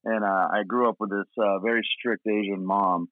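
Tinny phone-like sound, American man, low dry voice, rambling casual podcast guest. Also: steady tone, moderate pace, no room sound. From Portland, Oregon, United States. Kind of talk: casual anecdotal chat.

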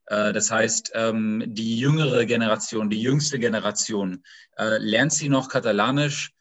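A man asking questions after a talk, halting and full of restarts. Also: lowish voice, fairly even intonation, fairly bright tone. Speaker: audience member asking questions. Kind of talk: conversational questions.

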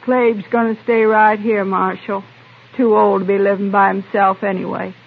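A blunt, bitter pioneer woman speaks in clipped country phrases with a gravelly, husky voice. The sound is a thin, narrow old radio drama recording. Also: medium pitch, little pitch movement, normal speed. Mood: bitter, stoic. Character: hard, plain-spoken frontier homestead wife, bitter and stoic. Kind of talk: old-time radio western acting.

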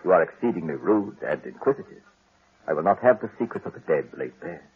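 An actor playing a pompous, unctuous undertaker, solemn and formal, in 1940s radio style. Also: male voice, sits low, expressive, fairly quick, slightly rough, phone-quality sound, full character voice. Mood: affronted.

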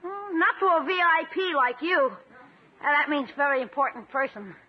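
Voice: high voice, expressive, moderate pace. An actor playing a cheeky, scheming young nephew in a 1950s radio comedy. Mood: falsely innocent. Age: child figure. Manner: wisecracking, falsely innocent. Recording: muffled old radio broadcast.

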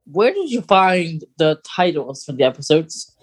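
Male podcast co-host, higher-than-average pitch, relaxed conversational delivery. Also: unhurried, slightly rough. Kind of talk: casual podcast chat.

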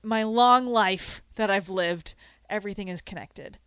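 A woman's voice: mid-range voice, a bit bright, smooth, no room sound. Chatty South Dakota radio host woman. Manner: chatty, joking, quick asides. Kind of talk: casual sports radio chat. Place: radio studio.

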